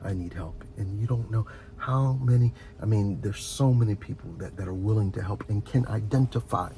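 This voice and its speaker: A man's voice: on the low side, normal speed, dark tone, a little hiss or hum. A man giving rambling, unscripted advice as a video host, earnest and encouraging, often repeating words and restarting as he speaks straight to the listener.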